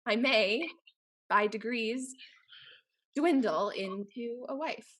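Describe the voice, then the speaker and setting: A woman playing a free-spirited, witty heiress in a Restoration comedy, with an arch, theatrical and deliberate delivery. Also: on the high side, fairly bright tone. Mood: imperious, teasing. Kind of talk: Restoration comedy stage dialogue.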